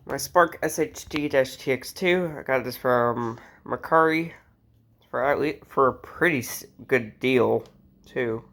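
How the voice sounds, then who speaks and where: A man's voice: mid-range voice, unhurried, fairly bright tone. A man with a mid-range voice hosts a casual hobby show-and-tell. He talks in an informal, rambling way, like someone chatting to his regular viewers. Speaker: host showing a radio collection.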